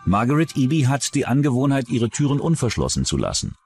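A man's voice: mid-range voice, very animated, brisk, a little mellow, soft background music. A man narrating a scripted true-crime documentary as its narrator.

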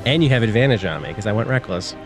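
A man's voice: fairly low pitch, animated, quick pace, creaky. A man casually describing his moves as a player in a tabletop roleplaying game. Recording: background music under the voice.

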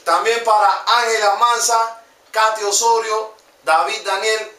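A man's voice: high voice, steady tone, measured pace, pushed and bright. A young man in his twenties with a Panamanian Spanish accent, hosting a joking, slangy comedy vlog from a small room. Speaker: vlog host. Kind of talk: casual comedic vlog narration. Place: small room with computer desk. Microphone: camera mic from a distance. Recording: echoey room, distant mic, faint noise.

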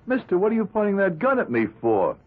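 A well-meaning motorist, old radio. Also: male voice, sits high, huge pitch swings, fast talker, full character voice. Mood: alarmed pleading. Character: innocent good Samaritan motorist, pleading for his life. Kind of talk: 1970s radio drama acting. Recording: narrow old radio recording.

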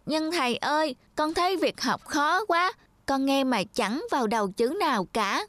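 High-pitched little boy novice. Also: lively intonation, normal speed, fairly bright tone, no room sound. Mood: pleading. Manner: pleading, childlike. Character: eager, easily scared little boy novice monk. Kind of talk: cartoon fairy tale acting. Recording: music behind the voice.

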